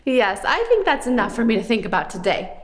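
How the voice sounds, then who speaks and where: A woman's voice: a little high, very animated, a little fast. A woman hosting a scripted children's puppet show, speaking expressively with exaggerated wonder for young viewers.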